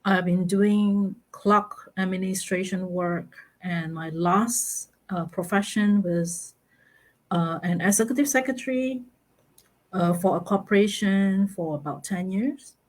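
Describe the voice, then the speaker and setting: A middle-aged woman from Malaysia, a guest on a live interview, speaking slowly and haltingly with many fillers and easy laughs. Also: medium pitch, darkish tone, low-quality recording. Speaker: guest, online business mentor. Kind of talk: casual interview over a live stream.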